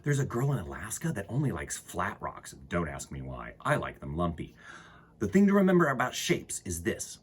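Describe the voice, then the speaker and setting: A man with a husky voice reading aloud to children as a storytime host, expressively, with dramatic emphasis and pauses. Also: low voice, huge pitch swings. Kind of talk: animated picture-book read-aloud for children.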